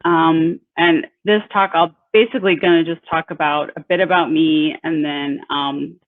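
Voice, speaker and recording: A woman in her thirties from Peru, an engineer giving an informal talk about her company, halting with many fillers and restarts. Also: low voice, steady tone, no room sound. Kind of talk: informal presentation. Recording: tinny phone-like audio, dry.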